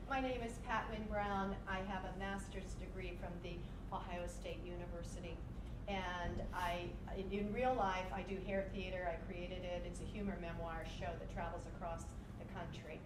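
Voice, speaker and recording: A woman's voice: lowish voice, steady tone, moderate pace. A woman theater performer giving a staged reading to a live audience. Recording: echoey, noisy live stage recording.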